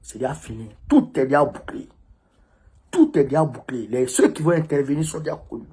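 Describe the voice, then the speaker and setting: A male political commentator speaks in an informal monologue with a West African French accent. His voice is husky and a little rough, at a middle pitch. He is emphatic, repeating phrases to drive them home. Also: fairly quick, dark tone.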